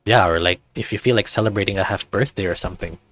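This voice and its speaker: A man's voice: sits low, fast talker, a bit bright, no room sound. A man voicing a goofy, laid-back teenage male furry best friend with casual, hesitant slacker phrasing in a visual novel. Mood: laid-back, joking.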